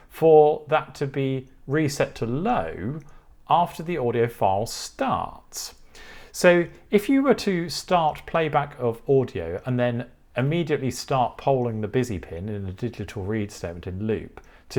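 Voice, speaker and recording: A man's voice: medium pitch, a little mellow. A man presenting a technical tutorial, explaining each step in a methodical, instructive way.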